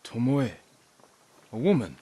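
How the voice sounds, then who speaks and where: An actor playing a determined, earnest samurai warrior in a video game, with a solemn, terse and resolute delivery. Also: male voice, mid-range voice, sing-song, unhurried, warm tone, slightly rough. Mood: questioning. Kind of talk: dramatic video game acting.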